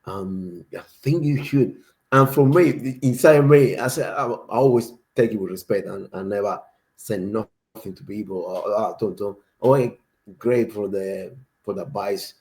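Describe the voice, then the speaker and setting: A man's voice: on the low side, normal speed, a little mellow. A man from Cuba, a native Spanish speaker with a strong Cuban accent, chatting casually as a panel speaker.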